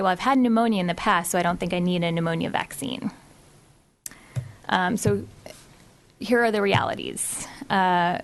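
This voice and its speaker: A woman physician presents health information to a community group. She speaks at an ordinary, mid-range pitch. Her delivery is explanatory and steady, dotted with ums and uhs.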